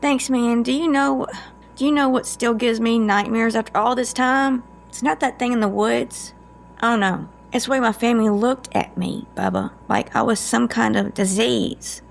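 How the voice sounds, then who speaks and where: Woman acting wry, creaky-voiced sarcastic female agent. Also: a little high, normal speed, a little hiss or hum. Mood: pained, confiding. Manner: folksy, teasing, deadpan sarcasm. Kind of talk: audio drama acting.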